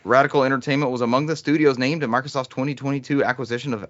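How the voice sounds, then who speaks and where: A man's voice: mid-range voice, fairly even intonation, racing, dry recording, lo-fi sound. A man hosting a casual gaming podcast, chatting and reading bits of trivia aloud. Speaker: podcast host.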